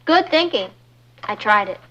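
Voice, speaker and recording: A young woman playing a melodramatic, scheming, excitable teenage girl, theatrical and emphatic, in a vintage TV sitcom. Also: medium pitch, measured pace, dull audio, heavily acted. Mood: glum, wry.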